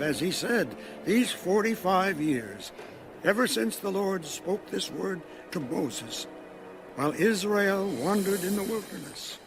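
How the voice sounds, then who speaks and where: A proud, steadfast warrior of eighty-five in a dramatized audio Bible. The delivery is slow, measured and declamatory, pitched a little high for a man, with music clearly underneath. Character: steadfast eighty-five-year-old male Bible warrior recalling his service.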